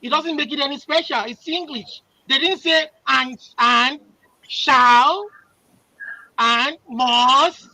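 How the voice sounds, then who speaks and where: A man's voice: very high voice, slow pace, sharp tone, no echo, rough recording. A man, a panel guest, argues insistently and leans hard on single words to make his point. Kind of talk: heated live panel discussion.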